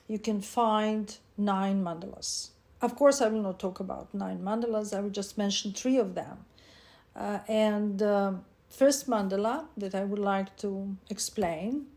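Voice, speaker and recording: A woman from Serbia, speaking, presents her book with slow, halting speech and frequent pauses. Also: medium pitch, a little mellow. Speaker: author and divination teacher.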